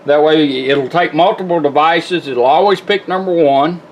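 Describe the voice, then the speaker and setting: A man's voice: fairly high pitch, moderate pace, slight background noise, poor audio. A middle-aged man giving a casual hands-on product demonstration, explaining step by step in a folksy, informal way. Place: indoors at a workbench.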